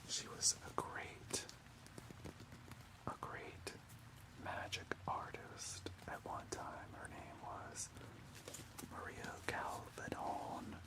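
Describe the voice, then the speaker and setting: A man playing a gentle mystical alchemist in an ASMR roleplay, musing slowly in a breathy whisper. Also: close to an everyday voice, low-quality recording. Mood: hushed, musing. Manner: slow, halting, musing. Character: gentle mystical alchemist consulting an old spellbook.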